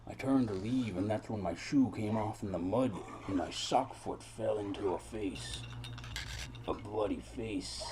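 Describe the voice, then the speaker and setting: A man's voice: low voice, background noise. A man playing a world-weary, brooding private detective in a noir audio drama, narrating in florid, rambling, repetitive phrases. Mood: deadpan.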